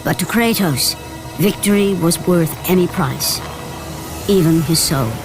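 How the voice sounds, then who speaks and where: A woman playing a grave storyteller of Greek myth, narrating an epic video game in a solemn, dramatic, deliberate way. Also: lowish voice, lightly acted. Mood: grave, ominous. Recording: orchestral game music behind voice.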